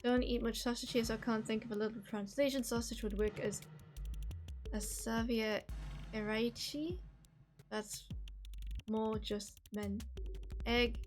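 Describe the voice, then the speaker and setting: Geordie woman, streamer, loose rambling chat, fairly high voice, faint game music behind. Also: steady tone, a little slow, pauses often. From North East England. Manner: rambling, many "like" fillers, self-deprecating. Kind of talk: casual chat while gaming, reading chat.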